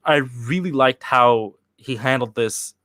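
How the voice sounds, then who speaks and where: A young man from Sweden talks loosely to his live chat, reading questions aloud. He stumbles, restarts and fills gaps with "uh" and "like". His voice sits at an ordinary pitch, recorded close on a desk mic with no room echo. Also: pushed and bright. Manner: rambling, halting, with many restarts and fillers. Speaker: channel host answering live chat questions. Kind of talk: casual livestream chat.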